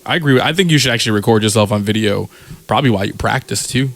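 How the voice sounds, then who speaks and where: Gravelly man chatting on a podcast. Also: on the low side, very fast, fairly bright tone. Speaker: podcast co-host, DJ. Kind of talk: casual chat.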